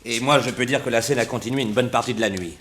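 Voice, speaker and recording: A man's voice: medium pitch, fast talker, a bit bright, natural voice. An actor playing a blunt, complaining male hotel guest making accusations in a French detective radio drama. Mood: accusing.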